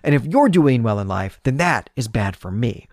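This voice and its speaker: A man's voice: mid-range voice, very animated, normal speed, dry recording, clean studio sound. A man hosting a podcast, giving an articulate, explanatory literary analysis in a semi-scripted, lecture-like monologue. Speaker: podcast host.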